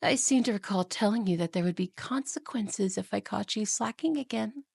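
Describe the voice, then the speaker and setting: A woman's voice: mid-range voice, moderate pace, vocal fry, darkish tone, husky, dry recording. A woman playing a haughty, cruel evil queen and wicked stepmother, imperious, scolding and condescending, in a fantasy audio roleplay. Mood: stern, scolding. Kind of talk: fantasy ASMR roleplay acting.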